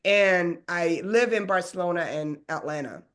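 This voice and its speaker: A woman's voice: fairly low pitch, measured pace, clean tone. Upbeat casual woman hosting a webinar. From Sweden. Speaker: realtor and business coach, webinar host. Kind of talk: presenting a webinar.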